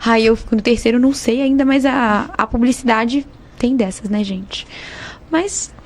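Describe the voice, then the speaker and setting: Young Brazilian woman, higher-pitched voice, chatty and informal student podcast host. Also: normal speed, slight background noise. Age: young adult. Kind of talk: casual podcast interview.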